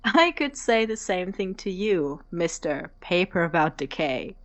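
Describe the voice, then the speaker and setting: A woman's voice: lowish voice, very animated, measured pace. A woman playing a playful, outgoing college student in an audio drama, teasing and coaxing as she talks. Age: young adult. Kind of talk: naturalistic audio drama acting.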